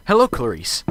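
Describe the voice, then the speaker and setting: A man playing a nervous, absent-minded professor who stammers and trails off in halting, flustered phrases. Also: reverberant, in a large hall, background noise. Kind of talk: amateur radio drama acting.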